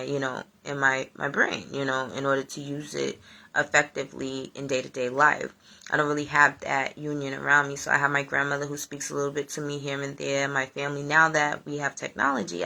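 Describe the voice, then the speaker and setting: Woman spanish native doing a chill live chat, low gravelly voice but kinda bright, rambles a lot. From United States, Honduran family. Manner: rambling, chatty, many fillers. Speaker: livestream host. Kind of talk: casual reflective live chat.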